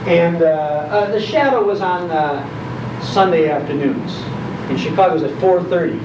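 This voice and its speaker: A man's voice: a little high, normal speed. An older American man giving an informal, folksy, nostalgic talk to an audience, repeating phrases for emphasis. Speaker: guest speaker on old-time radio history. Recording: echoey, dull, noisy recording from far off.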